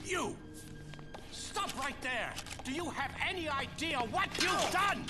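An angry, accusing man in a video game drama. He speaks high and with big swings of pitch, over loud music. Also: lively intonation, slow, full character voice. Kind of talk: video game cutscene acting.

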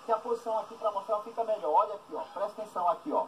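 A man with a high voice plays the teasing interviewer, prodding his guest with fan questions. He sits off the mic, so he sounds distant and roomy. Light noise runs behind him. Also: very fast. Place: outdoors by a pool at a country house.

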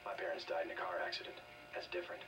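Actor as a deep, stoic American agent. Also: male voice, fairly even intonation, racing, far from the mic, close to an everyday voice. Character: stoic, terse young male special agent. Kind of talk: video game voice acting. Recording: noisy game audio off TV speakers.

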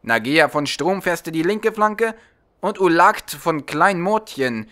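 A man's voice: fairly high pitch, expressive, slow pace, bright, forceful tone, pitched above the performer's own voice, heavily acted. A man voicing a dutiful military lieutenant, formal and factual, in a fantasy audiobook. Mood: reporting. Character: dutiful military lieutenant giving a crisp report.

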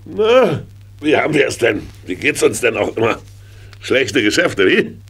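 An actor playing a jovial middle-aged uncle in a children's radio play, teasing and soothing. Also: male voice, medium pitch, big pitch swings, a little slow, fairly bright tone, breathy edge, full character voice.

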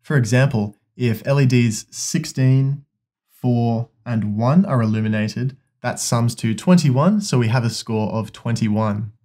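A man's voice: mid-range voice, unhurried, warm tone. A young man, casually explaining an electronics project in a workshop in a friendly, upbeat way. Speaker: electronics presenter.

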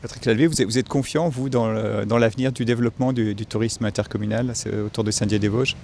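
Fast-talking male reporter. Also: lowish voice, fairly even intonation, creaky, darkish tone, a little hiss or hum. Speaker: reporter, interviewer. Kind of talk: news report narration and interview questions.

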